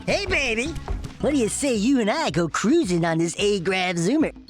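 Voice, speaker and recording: A man voicing a wisecracking small male furry animal sidekick in a video game cutscene, talking in a fast, nasal, joking way. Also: sits high, animated, soft background music. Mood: cocky.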